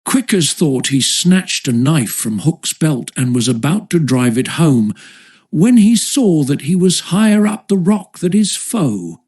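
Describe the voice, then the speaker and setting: A man's voice: fairly high pitch, sing-song, a little slow, darkish tone, husky. A man reading a classic children's adventure story aloud as an audiobook narrator, with a literary storytelling delivery.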